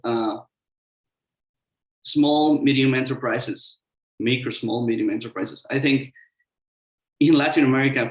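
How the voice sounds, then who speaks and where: A man from Mexico with a Mexican accent, a Spanish speaker giving a hesitant, filler-heavy talk as a panelist in an online webinar. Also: mid-range voice. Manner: hesitant, many "uh" fillers, explanatory. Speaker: webinar panelist, sustainable construction consultant. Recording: echoey room.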